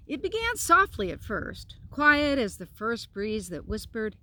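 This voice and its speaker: A woman reading a children's picture book aloud outdoors, with expressive phrasing and casual asides. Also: fairly high pitch, a little slow, poor audio. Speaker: storyteller, pastor. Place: outdoors in a park.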